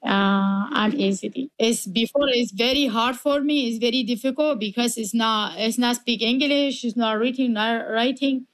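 A woman from Iraq who is an adult English learner, speaking in halting, simple English. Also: on the high side, no room sound, low-quality recording.

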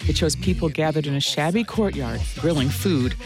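A man narrates a radio news feature. His voice sits a little high for a man, and faint music plays behind him. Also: normal speed.

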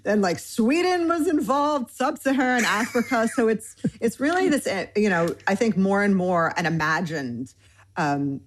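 A woman's voice: medium pitch, expressive, moderate pace, noisy background. A woman in her forties from Michigan, a cookbook author in an interview, talking eagerly in long winding lists with plenty of fillers. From Michigan, United States. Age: 40s. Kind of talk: conversational interview.

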